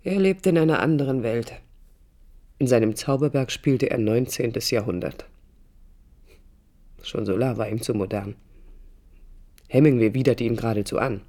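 An actress playing a disillusioned young woman architect in a radio drama, recalling her life in a low, husky, intimate monologue. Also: moderate pace, pauses often, mellow. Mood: resigned. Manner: reflective, intimate, literary.

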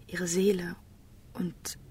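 An actress playing a tender, devoted young woman in love, speaking in an earnest, hesitant, breathy whisper in a radio drama. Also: fairly low pitch, flat delivery, takes it slowly, dark tone, recorded from a distance, slight background noise. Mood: hushed, confessing. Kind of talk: German radio drama acting.

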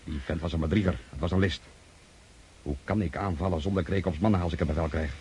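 Radio drama role: wary Cossack commander, male actor, very deep, curt authority, noisy outdoor scene. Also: quick pace, a little mellow, lightly acted. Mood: suspicious, brooding. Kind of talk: radio play acting.

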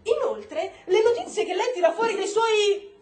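An actress playing an icy, commanding government secretary in a radio play, speaking formally and cuttingly. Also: female voice, extremely high pitch, lively intonation, normal speed, darkish tone. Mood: stern, cutting. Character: icy, commanding American government secretary, woman, rebuking an officer. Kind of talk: stage-style radio drama acting. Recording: roomy echo, faint noise.

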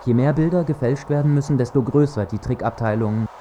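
A man's voice: mid-range voice, fast, mellow, a little hiss or hum, close to an everyday voice. A man acting in a scripted radio drama as someone explaining things. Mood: explanatory.

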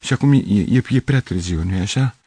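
An anxious, worn-out middle-aged musician from a storybook, voiced by a man. He pleads and stammers, his voice straining upward as he frets. The tone stays dark and gravelly, with a dry, close sound. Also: fairly low pitch, expressive, no echo, pitched above the performer's own voice, exaggerated voice. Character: flustered tired middle-aged male musician.

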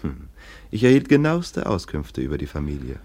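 A domineering police lieutenant in a radio play. The voice is very low and husky and moves in wide, lively swings, full of sardonic, dismissive scorn. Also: male voice, moderate pace, heavily acted. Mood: smug, dismissive. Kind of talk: German radio play acting.

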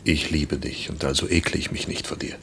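An actor playing a tender, devoted lover in a radio play, talking in a gentle, coaxing, reassuring way. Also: male voice, rumbling low, fairly even intonation, a little fast, creaky, whispered, exaggerated voice. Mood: tender, caring. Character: tender, devoted male lover caring for his woman. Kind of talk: intimate radio play acting.

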